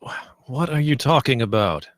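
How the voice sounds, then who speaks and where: A man's voice: medium pitch, animated, normal speed, lightly acted. A man playing an exasperated, impatient spaceship captain with a curt, irritated manner in a comedy table read.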